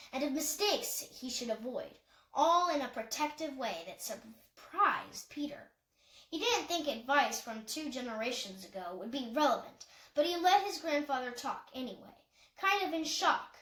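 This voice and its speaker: High-pitched woman reading a story. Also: lively intonation, normal speed, fairly bright tone, roomy sound, rough recording. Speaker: audiobook reader. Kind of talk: scripted story narration.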